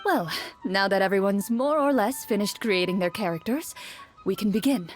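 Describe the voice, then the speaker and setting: An actress voices a patient woman running a tabletop session inside an anime game. Her voice sits in the middle range and stays measured and instructive. Faint music plays behind her. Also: mid-range voice, animated, lightly acted. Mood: explanatory. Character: composed woman game master explaining rules patiently. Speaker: game master.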